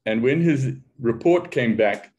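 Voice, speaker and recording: A man's voice: medium pitch, lively intonation, unhurried, darkish tone, dull audio. An older man with a South African accent giving a conference talk in a loose, conversational way, with wry, self-deprecating humor. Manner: loose, conversational delivery with restarts. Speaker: academic speaker.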